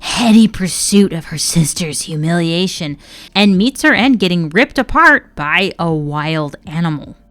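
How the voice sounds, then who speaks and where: A woman presenting scripted analytical narration as a video essayist, articulate and measured, with dryly wry asides. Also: fairly low pitch, expressive, fairly bright tone.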